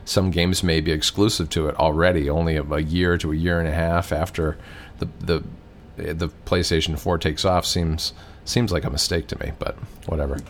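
A man co-hosting a podcast, his voice very low and a bit stuffed up from a cold. He talks in an easygoing, conversational way with a few ums and restarts. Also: level tone, normal speed, a little hiss or hum. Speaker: podcast co-host. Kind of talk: casual podcast chat.

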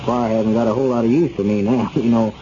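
An actor playing a level-headed, plain-spoken friend in a 1930s radio comedy. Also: male voice, mid-range voice, fairly even intonation, fast, dark tone, close to an everyday voice. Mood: puzzled. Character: Dick Huddleston, level-headed friendly rural man. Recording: muffled 1930s radio recording with clear hiss.

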